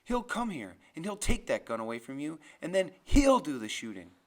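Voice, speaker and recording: A man voicing a stubborn older woman in an audiobook, protesting loudly and tearfully. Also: a little high, very animated, normal speed. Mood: fearful pleading. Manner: loud, emotional protesting. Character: distraught older woman, tearful, big-voiced, fiercely stubborn. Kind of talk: audiobook character voice.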